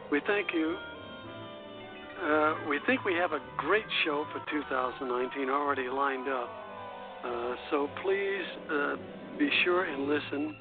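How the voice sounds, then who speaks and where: Man hosting radio over a phone-like line. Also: fairly high pitch. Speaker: radio show host. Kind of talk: scripted announcements and narration on an internet radio show. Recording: phone-like narrow band, faint music.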